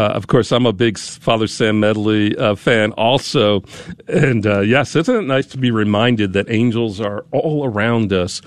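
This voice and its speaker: A man hosting a fundraising radio broadcast, slightly hoarse while getting over a cold, friendly and leading a prayer. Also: lowish voice, no echo. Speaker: radio host. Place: radio studio.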